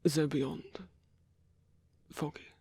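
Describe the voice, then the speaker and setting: Husky, whispering old male vampire, acted by a man. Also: on the high side, level tone, dry recording, exaggerated voice. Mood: wistful, musing. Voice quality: breathy, husky half-whisper. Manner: slow, halting, softly teasing. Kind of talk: ASMR roleplay.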